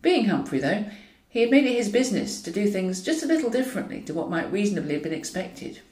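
Female audiobook reader for comic fiction: dry irony, animated pitch movement, recorded roomy and slightly distant. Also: fast talker. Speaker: author reading own novel. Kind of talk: scripted narration of a comic novel. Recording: roomy echo, distant from mic.

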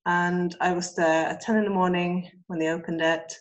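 A woman from Sweden, a guest in a casual chat over a remote call, speaking in a relaxed way with soft laughs and hesitant ums. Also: on the low side, moderate pace, dull audio.

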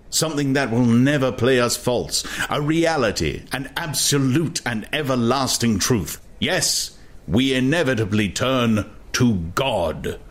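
A man playing a deep-voiced, learned ruler in a dramatized audiobook, speaking deliberately and calmly persuasive, with oratorical phrasing. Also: close to an everyday voice.

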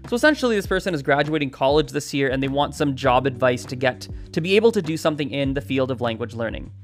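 A man's voice: medium pitch, expressive, quick pace, faint music behind. A man hosting a solo podcast, talking in an upbeat, chatty and rambling way with restarts. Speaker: podcast host. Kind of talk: solo podcast advice talk.

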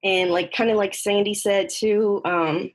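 A woman's voice: medium pitch, steady tone, a little slow. A young woman from northern Mexico, a Spanish speaker, telling personal stories casually with lots of filler "like" and acted-out dialogue. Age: young adult. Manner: informal, heavy use of "like", quoting dialogue. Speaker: podcast participant.

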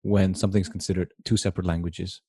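Low, mellow man, dry sound. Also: flat delivery, fast talker, breathy edge, no room sound. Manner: curious, asks rambling questions. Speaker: host. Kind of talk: casual conversation.

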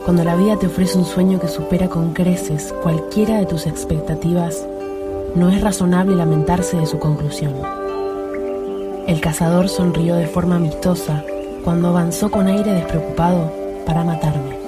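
Woman narrating a book excerpt slow and steady, low voice, music under it. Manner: calm, measured reading. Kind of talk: scripted narration of a book passage. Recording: reading over clear background music.

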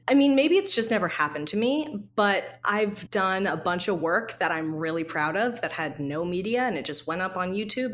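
Female interview guest, thirties, mid-range voice, candid and reflective. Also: medium pitch. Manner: candid, reflective, lively storytelling. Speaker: guest, associate creative director. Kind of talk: casual interview chat.

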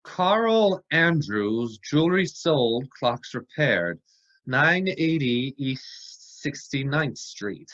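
A man's voice: mid-range voice, very animated, drawn-out words, fairly bright tone, exaggerated voice. Guy acting a tough wry detective type, old radio play, mid voice, kinda dull recording. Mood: wry, curious. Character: tough, dogged male amateur sleuth, wry and accusing. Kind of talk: vintage radio drama acting.

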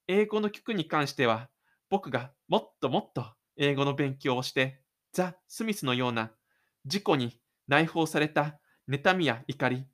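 Someone acting an excitable, rambling teenage schoolboy. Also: medium pitch, natural voice. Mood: proudly determined. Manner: rambling self-talk, breathless exclamations. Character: excited, stubborn, lonely teenage high school boy musician. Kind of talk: audio drama inner monologue.

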